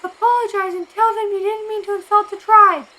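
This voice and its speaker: An amateur actress playing a stern, reproachful older sister from a tribe, earnestly scolding in an anime fan dub. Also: female voice, extremely high pitch, steady tone, fairly quick, bright, forceful tone. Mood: insistent.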